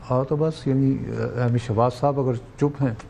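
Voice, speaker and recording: A man's voice: medium pitch, fairly even intonation, moderate pace, creaky, warm tone, a little hiss or hum. A Pakistani man debating politics as an analyst, argumentative and fond of rhetorical questions.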